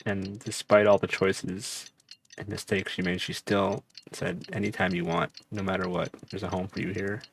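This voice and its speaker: A man's voice: sits low, flat delivery, normal speed, vocal fry, a little hiss or hum. A man streaming a game, giving casual, musing commentary and often repeating his phrases. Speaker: gaming streamer. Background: game music.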